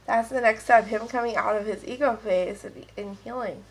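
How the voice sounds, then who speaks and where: A woman's voice: a little high, moderate pace, husky, poor audio. Stuffed-up woman reading tarot cards. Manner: casual, rambling, intuitive. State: stuffed-up nose, sniffling. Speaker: tarot card reader. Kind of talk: pick-a-card tarot reading.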